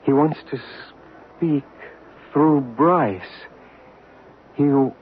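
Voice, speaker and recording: An actor playing a male medium speaking slowly and haltingly from a deep trance, in a 1970s radio drama. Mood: entranced. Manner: very slow, halting, dreamy. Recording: narrow, hissy old radio broadcast.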